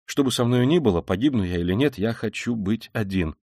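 Man acting a brooding, tormented young man, halting. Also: lowish voice, expressive, darkish tone, no echo, studio quality. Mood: agitated. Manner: halting, muttering, abrupt.

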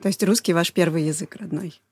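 A woman's voice: fairly low pitch, steady tone, brisk, breathy edge, no echo, clean studio sound. A woman hosting a podcast in a friendly way, introducing guests and guiding the talk. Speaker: podcast host.

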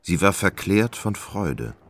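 A man giving scripted literary narration for a radio drama, with measured, expressive storytelling. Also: low voice, creaky.

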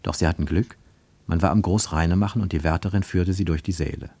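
Guy with a lowish voice reading a literary story for radio, dramatic expressive phrasing, really dry sound. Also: quick pace, vocal fry, slightly rough, no echo. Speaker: narrator.

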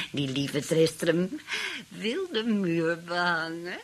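An actress playing a sly, bossy old great-aunt in a radio play, speaking in a deliberate, calculating way. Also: female voice, lowish voice, expressive, a little hiss or hum, heavily acted. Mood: sly amusement. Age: older.

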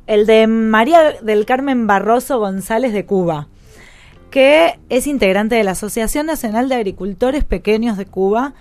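A woman presenting a live radio broadcast, introducing recorded clips in a relaxed way with frequent fillers. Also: fairly high pitch, moderate pace, soft background music.

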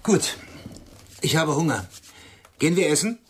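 Animated, curt, stubborn man. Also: a little high, unhurried, husky, exaggerated voice. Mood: matter-of-fact. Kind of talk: radio play acting.

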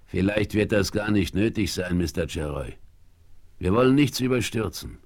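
An actor playing a shrewd, calm frontiersman in charge, deliberate and commanding, in a German audio drama. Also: sits low. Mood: calm, cautious. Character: shrewd, calm frontiersman leader, cautious and decisive.

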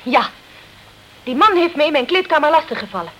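Very high-voiced, indignant club singer. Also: female voice, sing-song, a little fast, a bit bright. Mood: cool, offended. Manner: offended, haughty, evasive. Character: indignant, defensive female nightclub singer hiding the truth. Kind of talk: Dutch radio crime drama acting. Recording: noisy old radio play recording.

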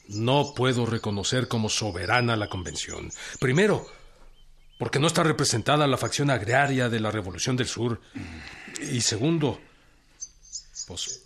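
General revolucionario mexicano, voz ronca, tono medio, pausado y firme, radioteatro. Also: male voice, medium pitch, breathy edge, heavily acted. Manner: calm and deliberate, with chuckles. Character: firm, plain-spoken revolutionary general, middle-aged. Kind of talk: radio drama acting.

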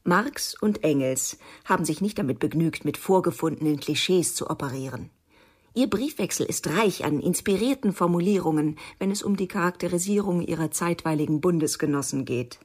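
A woman with a fairly low, husky voice narrates a literary radio piece. Her delivery is measured and dry, clearly read from a script. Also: a little mellow.

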